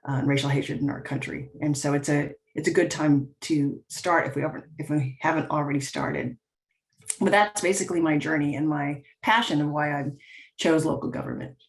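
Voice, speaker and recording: A woman's voice: deep voice, low-quality recording. Earnest woman panelist in local government. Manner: earnest, passionate. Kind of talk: panel discussion. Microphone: video call.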